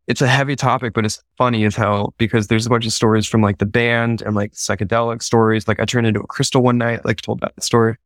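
Brief: male guest, quick flat-toned storyteller, casual with constant fillers, dry close sound. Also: medium pitch, no room sound. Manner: loose, filler-heavy storytelling. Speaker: podcast guest. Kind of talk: casual interview talk.

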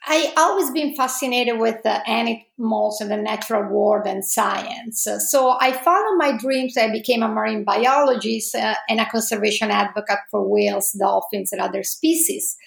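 A middle-aged woman with an Italian accent, answering interview questions with many pauses and fillers. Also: a little high, vocal fry, echoey room. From Italy.

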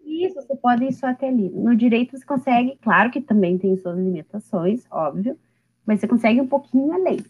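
A woman in her late thirties is chatting on a podcast, her voice sitting fairly high. She jokes at her own expense and laughs easily. The recording is dull and lo-fi. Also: expressive, brisk, breathy edge. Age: 30s. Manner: chatty, self-deprecating, laughs often. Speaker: podcast guest. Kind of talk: casual interview.

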